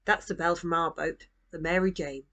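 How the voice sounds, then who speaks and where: A woman voicing a gentle, wistful old grandfather in a children's storybook, in a quiet, measured, tender way. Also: fairly low pitch, steady tone.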